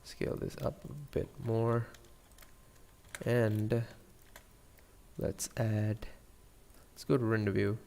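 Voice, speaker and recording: A man with a Sri Lankan accent giving a casual software tutorial, thinking aloud in a hesitant murmur as he works. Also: lowish voice, vocal fry, in a large hall. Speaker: 3D animation tutorial streamer.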